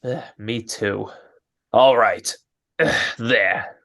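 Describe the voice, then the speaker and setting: A performer playing a gruff, griping working-class moving man in an old-time radio drama, with blunt, slangy talk. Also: mid-range voice, drawn-out words, a bit bright, exaggerated voice. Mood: gruff, weary. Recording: amateur online radio drama recording.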